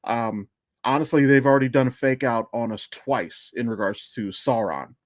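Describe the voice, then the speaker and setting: A man's voice: mid-range voice, normal speed, no echo. Casual man podcasting in a dry close sound. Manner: informal, profane. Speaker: podcast co-host. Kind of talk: casual fan discussion.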